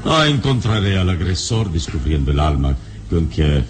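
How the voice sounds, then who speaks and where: A man playing a serene, fearless, fatherly adventure hero in an old Latin American radio serial, speaking in a measured, reassuring way over dramatic music. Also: deep voice. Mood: calm, deliberate. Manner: measured, calm, deliberate delivery.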